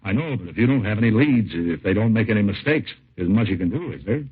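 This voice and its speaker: An actor playing a plainspoken, talkative used car dealer in a 1950s radio drama, with a husky voice and folksy, clipped sentences. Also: male voice, on the low side, animated, quick pace. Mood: defensive, glum. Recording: tinny, muffled old radio recording.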